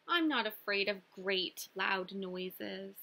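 A woman's voice: medium pitch, animated, slow pace, pushed and bright, full character voice. A young woman voicing a timid, polite young male turtle in a storybook, earnest and pleading in a childlike way. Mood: plain refusal.

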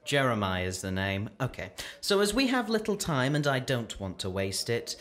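Actor doing pushy self-important guy, clipped quizmaster manner, pitch jumps around, radio play. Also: huge pitch swings, normal speed, a bit bright. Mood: officious. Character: brisk, pushy, self-important male speed dater. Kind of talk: radio play acting, rapid questioning.

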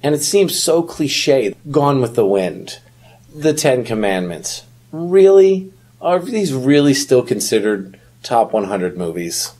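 A man's voice: mid-range voice, big pitch swings, slow, darkish tone, husky. A man in casual, wisecracking chat as a podcast co-host.

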